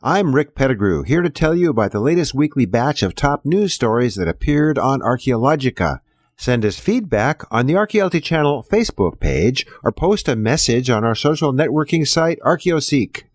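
A man's voice: mid-range voice, very animated, normal speed, dry recording, clean studio sound. A man hosting a scripted audio program, addressing listeners directly like an announcer. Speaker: podcast host. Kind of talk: scripted show introduction.

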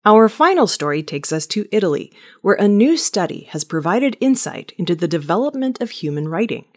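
A woman reading a scripted weekly news bulletin in a measured, steady manner. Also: mid-range voice. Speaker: news reader.

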